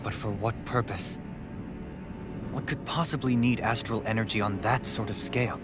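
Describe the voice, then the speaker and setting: A man's voice: fairly low pitch, fairly even intonation, moderate pace, over loud music, lightly acted. An actor playing a refined, scholarly man who talks in a formal, articulate, slightly lecturing way. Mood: serious.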